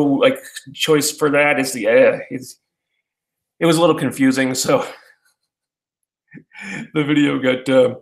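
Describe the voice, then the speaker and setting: Rambling man in an echoey room. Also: mid-range voice. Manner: halting, many fillers and restarts. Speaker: YouTuber answering viewer questions. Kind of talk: casual rambling chat.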